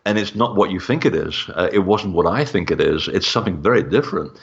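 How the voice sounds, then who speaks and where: A man's voice: low voice, quick pace, gravelly, lo-fi sound. A man speaking as a guest author in a casual, discursive interview about his own work. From born in Portugal.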